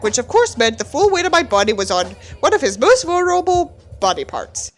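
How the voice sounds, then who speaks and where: A woman's voice: on the high side, a bit bright. A woman giving a mock-dramatic reading of a book with theatrical, sarcastic delivery and candid asides. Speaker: host reading a book aloud with commentary.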